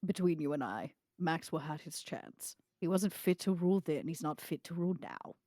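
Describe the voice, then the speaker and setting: A woman's voice: sits low, normal speed, mellow, no echo, studio quality, lightly acted. A woman playing a blunt, scornful, shrewd vampire leader in a tabletop game. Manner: blunt, dismissive.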